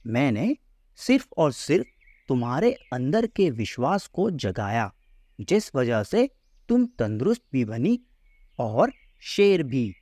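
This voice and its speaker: A man voicing a calm, wise, patient holy man in a children's cartoon story, measured and instructive. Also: mid-range voice, dry recording, studio quality. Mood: calm, explaining.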